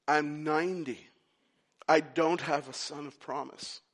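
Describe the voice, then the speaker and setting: A man's voice: on the high side, steady tone, measured pace, a bit bright. A man putting on a very old man who keeps pleading and asking whether he heard a divine promise right. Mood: weary doubt. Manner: pleading, repeating questions.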